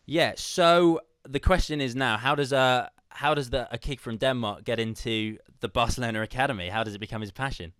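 A man's voice: mid-range voice, fairly quick, few pauses, a bit bright, clear, resonant tone, no echo, studio quality. A man hosting a casual sports podcast, talking in an informal, chummy way with his guest. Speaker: podcast host.

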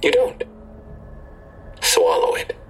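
An actor in an audio drama playing a menacing male tormentor who gives cold, terse commands in a husky, often whispery voice. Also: close to an everyday voice. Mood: quietly threatening. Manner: slow, terse, calmly controlling. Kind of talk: full-cast audio drama acting.

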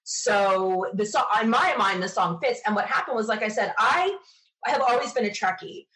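Woman from the us, podcast guest, chatty and into it, medium pitch, telling stories casually. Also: animated, moderate pace, fairly bright tone, poor audio. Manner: chatty, enthusiastic storytelling. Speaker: interview guest, sci-fi podcaster. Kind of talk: casual interview chat, animated anecdotes.

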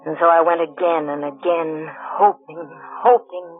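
A woman's voice: lowish voice, steady tone, normal speed, narrow, tinny audio, lightly acted. An actress playing a grief-stricken, vengeful woman in an old-time radio drama, brooding and intense in her melodramatic delivery. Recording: thin, band-limited old radio recording.

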